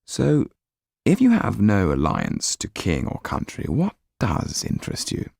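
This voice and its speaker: A man voicing a measured, grave gentleman with firm convictions in an audiobook story. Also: fairly low pitch, huge pitch swings, vocal fry, slightly rough, dry recording, studio quality, heavily acted. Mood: curious.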